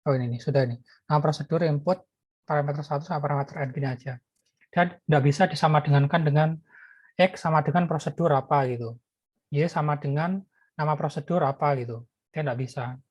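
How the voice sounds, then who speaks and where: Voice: mid-range voice, fairly even intonation, fairly quick, pauses often, a little mellow, slightly rough, no room sound, lo-fi sound. A lecturer explaining programming while typing code, thinking aloud with many fillers and restarts. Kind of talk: live coding lecture.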